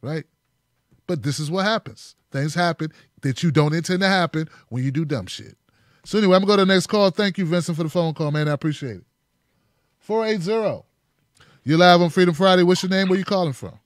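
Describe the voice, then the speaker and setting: A man hosts a live call-in show in a loose, emphatic way. His voice sits a little high. The sound is dry and close. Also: brisk, dry recording. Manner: conversational, emphatic, calls people brother and man. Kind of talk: casual live-stream commentary and call-in.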